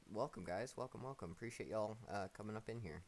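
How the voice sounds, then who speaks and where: A man with a very low voice hosts a gaming tournament stream. He talks loose and slangy and cracks jokes, with faint hiss under his mic. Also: fast. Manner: laid-back, slangy, joking. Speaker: tournament host. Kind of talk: casual live-stream hosting.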